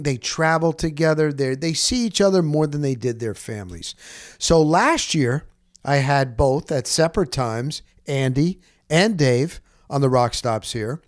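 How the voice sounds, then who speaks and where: A man hosting a podcast, talking casually in a rambling, emphatic way and repeating phrases for stress. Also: mid-range voice. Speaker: podcast host. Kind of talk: casual conversational podcast introduction.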